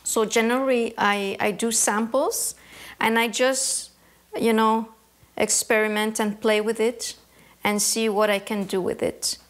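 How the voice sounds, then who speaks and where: A woman from Canada, an embroidery artist, answering interview questions in a thoughtful way, often pausing to search for words. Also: a little high, little pitch movement.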